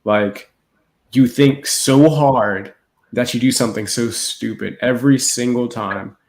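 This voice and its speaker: A man's voice: mid-range voice, fairly even intonation, a little slow, a little mellow. A man co-hosting a podcast, giving casual, opinionated film talk with incredulous rhetorical questions. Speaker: podcast co-host. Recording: echoey room.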